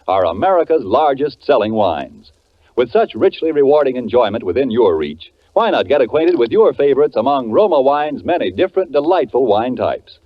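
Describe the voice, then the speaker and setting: A man announcing a commercial in 1940s radio style with a polished, declamatory sales delivery. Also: mid-range voice. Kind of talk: 1940s radio advertising read. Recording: muffled 1940s radio broadcast.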